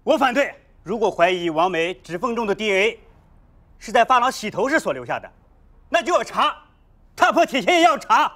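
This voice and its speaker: A man's voice: sits high, sing-song, a little fast, sharp tone, heavily acted. An actor in his thirties playing an earnest, insistent defence lawyer in a film, speaking emphatically. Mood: indignant, insistent. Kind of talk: courtroom argument in a film.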